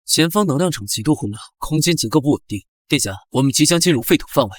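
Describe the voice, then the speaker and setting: A man playing a sly, teasing fox spirit spy with a confident, smooth-tongued manner in an animated drama. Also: a little high, fast, no room sound, studio quality. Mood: grave. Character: sly, teasing nine-tailed fox clan spy, male consort.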